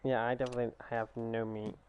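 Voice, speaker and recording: A man's voice: mid-range voice, little pitch movement, fast, highs cut off, slight background noise. A young man hosting a live game stream, chatting casually and speaking straight to his viewers. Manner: laid-back, talks straight to his viewers. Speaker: streamer host.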